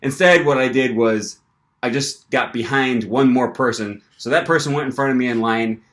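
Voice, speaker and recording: Young man, mid-pitch voice, casual vlog storytelling, animated, fillers and restarts. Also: moderate pace. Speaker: language-learning vlogger.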